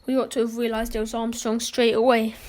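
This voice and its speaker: A young woman playing a brisk, wry adventurer who takes charge in an amateur radio mystery, with clipped, old-fashioned phrasing. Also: a little high, little pitch movement, darkish tone, natural voice. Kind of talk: amateur radio play acting.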